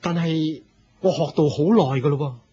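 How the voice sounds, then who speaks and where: A man's voice: on the high side, normal speed, mellow, husky. A man with a Hong Kong Cantonese accent playing an aging religious leader, proud yet humble, in a theatrical Buddhist radio drama. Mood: humble, earnest. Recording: muffled lo-fi radio drama recording.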